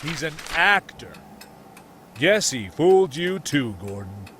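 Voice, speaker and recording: A man's voice: on the high side, very animated, slow pace, fairly bright tone, slight background noise, heavily acted. A man playing a smug, gossipy middle-aged city mayor who insinuates, in a motion comic. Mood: sneering.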